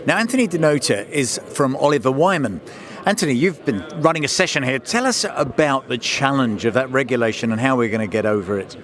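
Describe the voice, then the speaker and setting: A man's voice: mid-range voice, expressive, fairly quick. A man interviewing a guest on location at an event, putting questions in a conversational presenting style. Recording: crowd chatter around the voice.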